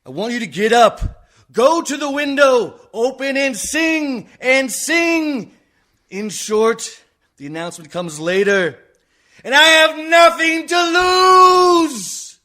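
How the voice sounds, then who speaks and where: A man's voice: very high voice, lively intonation, takes it slowly, heavily acted. An actor playing a desperate, outraged man delivering a declamatory monologue in a radio comedy. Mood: impassioned outrage. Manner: declamatory, building from controlled to shouting. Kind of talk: theatrical radio-play monologue.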